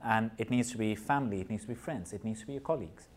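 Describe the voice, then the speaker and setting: Earnest man with a low voice. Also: racing. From Nepal and India. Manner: earnest, with frequent restarts and repeated words. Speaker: guest, corporate partner and mental health advocate. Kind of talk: podcast interview.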